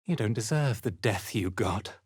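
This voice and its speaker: A man playing a haughty, cruel dark elf zealot commander in a video game, speaking in imperious, menacing, theatrical pronouncements. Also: on the low side, animated, moderate pace, slightly rough, no room sound, clean studio sound. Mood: contemptuous, threatening. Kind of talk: video game character acting.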